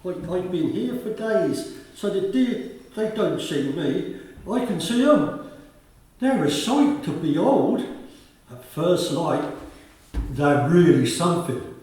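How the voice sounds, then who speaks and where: A man's voice: high voice, sing-song, slow pace, darkish tone, exaggerated voice. A man playing an eccentric, nature-loving country tramp of fifty or sixty in a radio play, with folksy, stammering talk. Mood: hushed wonder. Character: Bob, eccentric nature-loving rustic tramp, fifties or sixties. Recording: very echoey room, far from mic.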